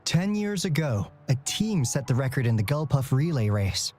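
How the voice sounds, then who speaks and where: A man's voice: medium pitch, very animated, moderate pace, darkish tone, soft background music, lightly acted. A man playing an earnest, cautious young relay racer in a video game, polite, tentative and thoughtful. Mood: hesitant, wistful.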